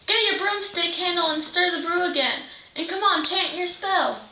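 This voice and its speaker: A bossy, easily amazed storybook sidekick to a witch, played by a teenage girl. The voice is very high and bright, exclaiming from a little distance in an echoey living room. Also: moderate pace, a little hiss or hum, heavily acted. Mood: bossy, teasing. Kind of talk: readers' theater script reading. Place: living room, far camera microphone. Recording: roomy, distant microphone.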